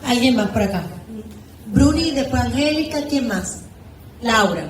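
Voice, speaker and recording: A woman's voice: fairly high pitch, animated, a little slow, faint music behind. A woman moderating a group discussion with brief informal remarks. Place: echoey room with a group. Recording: distant, echoey room with crowd murmur.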